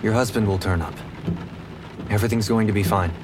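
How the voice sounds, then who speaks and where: An actor playing an earnest, reassuring big-city police officer in a noir audio drama. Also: male voice, on the low side, a little fast, noisy background, close to an everyday voice.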